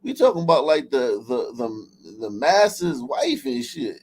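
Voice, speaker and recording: A man's voice: on the high side, huge pitch swings, a little slow. A man hosting a livestream in casual, profane chat, often repeating and restarting his phrases. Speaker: livestream host. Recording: clear background noise.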